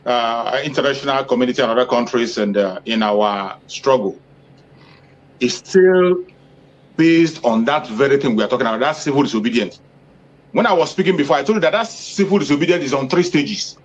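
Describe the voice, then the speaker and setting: A man, speaking as an activist in an interview, emphatic, repeating phrases for force. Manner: emphatic, repeats phrases for force, rising pitch when stressing points. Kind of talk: impassioned political interview talk. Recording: dull lo-fi audio.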